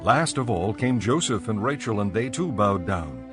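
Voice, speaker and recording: A man narrating a dramatized audio Bible in a measured storytelling manner. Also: lowish voice, sing-song, over music.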